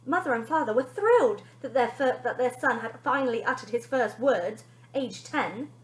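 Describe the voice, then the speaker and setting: A woman reads a children's story aloud like a teacher, with great expression. Her fairly high voice rises and falls widely, and the room adds a clear echo. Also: animated, normal speed. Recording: echoey room.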